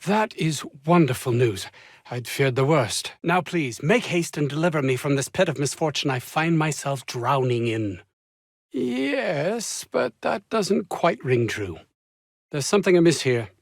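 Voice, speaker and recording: An actor playing a pompous, ambitious, anxious research scientist in a video game, speaking with haughty, fussy, old-fashioned formal diction. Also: male voice, on the high side, lively intonation, unhurried, creaky, no room sound, clean studio sound, heavily acted. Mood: relieved, troubled. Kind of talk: theatrical game dialogue.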